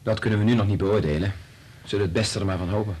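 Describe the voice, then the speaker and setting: A man playing a kind, patient scientist in a radio drama, speaking in a gentle, reassuring, informal way. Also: lowish voice, fast talker, husky. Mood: focused.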